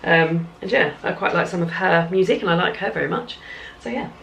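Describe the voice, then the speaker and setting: A woman's voice: lowish voice, a little fast, gravelly, fairly bright tone, slight background noise, rough recording. A woman chatting casually in a home vlog diary about her plans and reading, rambling and correcting herself as she goes. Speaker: book vlogger.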